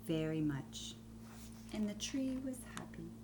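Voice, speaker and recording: A woman teacher reading a children's story aloud, deliberate and expressive, with pauses between phrases. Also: mid-range voice, heavy background noise.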